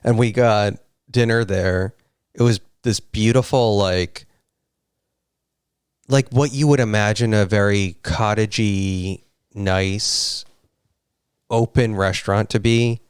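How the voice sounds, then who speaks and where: Low smooth-voiced man telling stories. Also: steady tone, takes it slowly, pauses often, no room sound, clean studio sound. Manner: rambling storyteller. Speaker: co-host. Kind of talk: casual live-stream chat.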